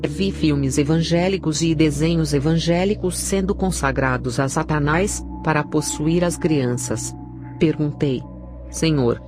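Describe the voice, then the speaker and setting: A woman with a Brazilian accent reading a scripted narration of a religious testimony. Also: deep voice. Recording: clear background music under the voice. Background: music.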